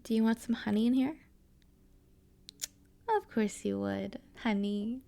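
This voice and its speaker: Woman acting a slow, creaky, doting best friend. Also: a little high, mellow, no room sound. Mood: candid, relaxed. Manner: affectionate, teasing, rambling, slangy. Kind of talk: intimate audio roleplay, casual one-sided chat.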